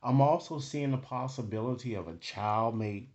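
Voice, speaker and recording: Dull lo-fi recording, male tarot reader, medium pitch, improvised halting phrases, frequent tag questions, addressing viewers directly. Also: darkish tone. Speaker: tarot card reader, online host.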